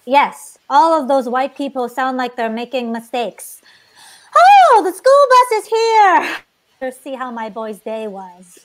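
A high-pitched, strict sitcom mother. She is proud and blunt, stating things emphatically and matter-of-factly, as in a table read over a video call. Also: big pitch swings, slow pace, slight background noise, heavily acted. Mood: firm, exasperated. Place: indoors at home on a video call. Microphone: video call, earphones.

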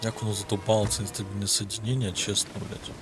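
Low-voiced Ukrainian man commentating gameplay. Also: flat delivery, quick pace. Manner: offhand, muttering to himself, casually swearing. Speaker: gaming video host. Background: game music.

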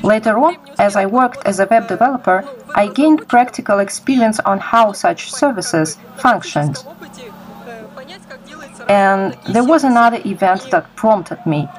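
A woman's voice: mid-range voice, unhurried, low-quality recording. A young adult woman from Kazakhstan giving informal, explanatory answers as an interviewee. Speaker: interviewee, project creator.

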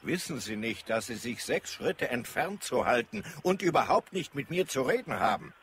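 An actor playing a haughty, tyrannical Martian governor in a radio drama, pompous and imperious. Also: male voice, mid-range voice, big pitch swings, moderate pace, a bit bright, slight background noise. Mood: imperious, irritated.

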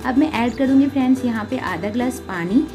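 A woman's voice: on the high side, a little fast. A woman with an Indian accent giving step-by-step cooking instructions as a home recipe host, often addressing listeners directly. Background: prominent background music.

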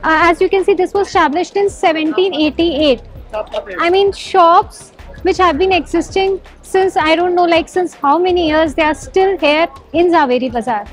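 A woman's voice: extremely high pitch, moderate pace, faint music behind. A young woman with an Indian English accent, presenting a travel vlog from a busy market and narrating over it. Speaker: reporter. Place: busy street market outdoors.

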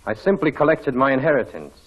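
An actor playing a proud, sardonic self-made gentleman, coolly formal, in a 1940s radio drama. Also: mid-range voice, big pitch swings, quick pace, breathy edge, lo-fi sound.

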